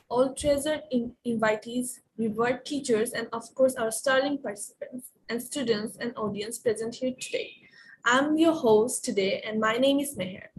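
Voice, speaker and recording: A woman's voice: fairly high pitch, a little mellow, a little hiss or hum, poor audio. A young woman hosting a formal academic ceremony, delivering an elaborate and ornate welcome. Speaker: event host.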